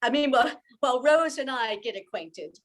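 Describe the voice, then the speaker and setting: A woman's voice: sits high, animated, moderate pace. A woman playing a hospitable young widow and mother in an amateur radio play. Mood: gracious.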